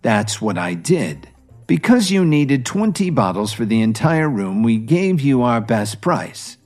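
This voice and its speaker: A man plays a courteous hotel employee in an audio drama. His voice is mid-pitched and measured, staying polite and firm over background music. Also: sing-song, darkish tone. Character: polite, unflustered hotel employee, firmly explaining.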